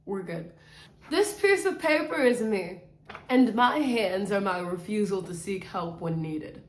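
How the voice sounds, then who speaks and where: A woman rambles through a casual vlog on her camera mic, slow and drawn out. She is playful and self-mocking and drifts into sing-song bits. The bedroom around her sounds echoey. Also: mid-range voice, expressive. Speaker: vlogger.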